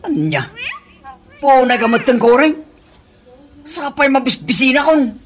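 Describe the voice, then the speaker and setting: A woman's voice: high-pitched, big pitch swings, a little slow, slightly rough, heavily acted. A woman playing a hungry, grumbling construction worker in a comedy radio drama, with an Ilocano accent and a complaining manner. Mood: irritated. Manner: whining, complaining.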